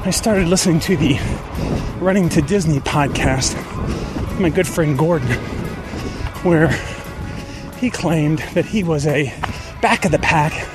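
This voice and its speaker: A man's voice: on the high side, unhurried, music in the background. A middle-aged man hosting a podcast, talking in a conversational, personal way. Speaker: podcast host. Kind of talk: conversational podcast monologue and interviewing.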